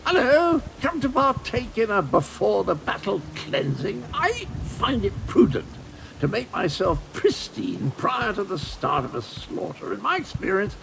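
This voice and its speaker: Swooping, creaky, eccentric undead gentleman. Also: sits high, a little hiss or hum, heavily acted, low-quality recording. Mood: cheerfully pompous. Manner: pompous, florid, theatrical, swooping. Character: flamboyant, eccentric gaunt undead gentleman, dotty former villain. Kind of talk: theatrical video game character acting.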